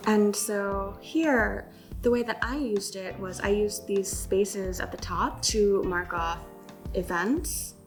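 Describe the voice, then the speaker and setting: A woman co-hosting a casual stationery unboxing, chatty and enthusiastic with frequent fillers. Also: mid-range voice. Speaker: stationery shop co-host. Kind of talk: casual product unboxing chat.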